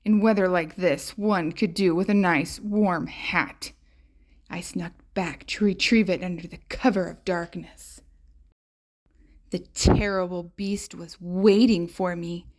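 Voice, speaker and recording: A woman's voice: lowish voice, steady tone, slow pace. A woman voicing a small furry tree-dwelling beast from a picture book, affronted and melodramatic in the telling. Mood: musing.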